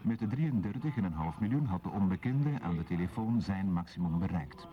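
A man's voice: sits low, lively intonation, fairly quick. A man narrating in a formal, scripted reporting style for a radio drama. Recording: dull lo-fi sound, crowd murmur.

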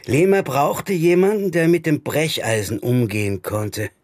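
An actor playing a jaded, defiant anarchist stage magician in a German radio crime drama, talking colloquially and chummily with a theatrical streak. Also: male voice, fairly high pitch, unhurried, darkish tone, no room sound. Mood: guarded. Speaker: supporting actor.

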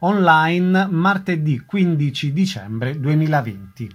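A man's voice: a little high, slow, dull audio. A man reads a scripted radio introduction as an announcer.

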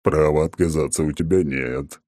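A man voicing a smug, very deep oak-tree god. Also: steady tone, dark tone, no room sound, studio quality. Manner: haughty, mocking, slow and drawn-out. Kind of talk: audiobook character voice.

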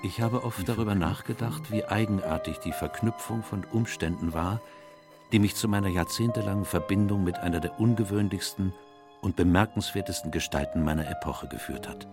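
An actor playing a reflective, loyal elderly doctor narrating his memoirs in a measured, literary way in a radio play. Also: low voice. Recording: music under the voice.

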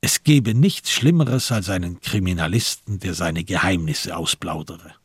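A man narrating a radio crime drama in a measured, literary, sober way, with a husky voice. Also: deep voice, no room sound, clean studio sound, full character voice. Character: sober storyteller of a crime tale.

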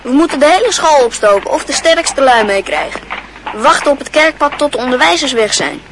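A woman's voice: high voice, very animated, a little fast, background noise. A woman playing a bossy schoolboy plotting a school fight in a radio play. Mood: scheming. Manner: bossy, conspiratorial. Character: scheming schoolboy planning a fight.

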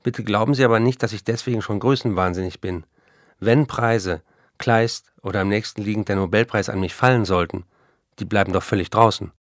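Radio drama: male actor as an effusive, self-doubting male writer, low-pitched, dry close sound. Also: fairly quick, no echo, close to an everyday voice. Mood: playfully modest. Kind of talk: radio play, reading letters aloud.

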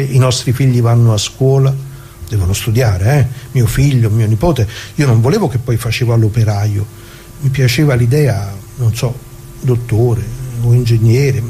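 An actor playing a nostalgic, proud older working-class communist in a radio theatre monologue, talking colloquially and haltingly, repeating his words with earnest feeling. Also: mid-range voice, dark tone, a little hiss or hum, close to an everyday voice. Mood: proud, wistful.

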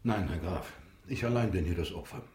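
An actor in a German radio drama playing a stern, embittered, middle-aged patriarch and prosecutor, proud, rigid and formal. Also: male voice, deep voice, expressive, a little fast, darkish tone, reverberant. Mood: gloomy, subdued. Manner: formal, severe.

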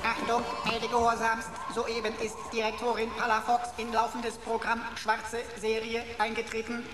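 A woman's voice: fairly high pitch, completely flat delivery, moderate pace, close to an everyday voice. A woman playing an officious computer that reports in clipped, telegraphic military phrases in a radio play. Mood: dutiful. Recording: reverberant hall sound with noise.

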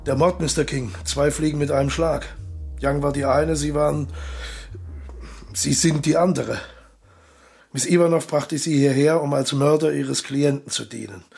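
An actor in a radio drama playing a suave, cold-blooded traitor colonel, courteous and condescending, with a husky, gravelly voice. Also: male voice, fairly high pitch, fairly even intonation, normal speed, a little mellow, soft background music. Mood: smug, condescending.

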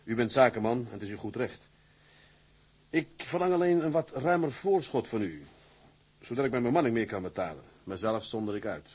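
A man's voice: mid-range voice, animated, fairly quick, a little mellow, breathy edge. A man playing a stern, lonely, principled tugboat captain in a radio drama, speaking earnestly and tersely. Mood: firm, earnest. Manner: earnest, terse, matter-of-fact. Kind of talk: Dutch radio drama acting.

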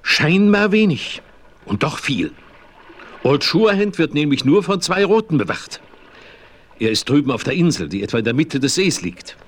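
A cheerful old frontiersman from a 1970s Western radio play. His voice sits fairly high and swings animatedly up and down. He is wry and folksy, full of colorful exclamations. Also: big pitch swings, normal speed. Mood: sly, reporting.

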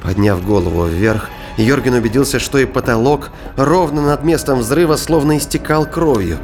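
A man's voice: mid-range voice, normal speed, a little mellow. A man giving scripted, dramatic narration for a science fiction audio drama. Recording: studio narration over music. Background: clear music.

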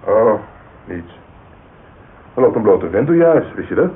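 An actor playing a cool, mocking, menacing tough guy, curt and deadpan, in a Dutch radio drama. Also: fairly low pitch, measured pace. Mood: dry, mocking. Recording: tinny band-limited radio recording, faint hiss.

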